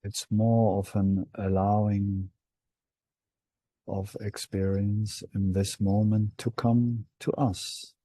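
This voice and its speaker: A man leading a guided meditation in a hushed, unhurried manner with long pauses between short phrases. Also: fairly low pitch, flat delivery, husky, no room sound.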